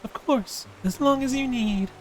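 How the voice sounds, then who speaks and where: A man voicing a gentle, caring female companion in a fantasy game. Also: very high-pitched, unhurried, faint music behind, pitched above the performer's own voice. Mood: gentle, reassuring.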